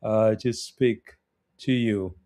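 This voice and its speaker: A man talks casually as a podcast guest. His voice is fairly low and very mellow and soft-edged, and he chooses his words in a measured, slightly hesitant manner. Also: fairly even intonation. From Republic of Congo, later South Africa. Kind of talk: casual conversational self-introduction.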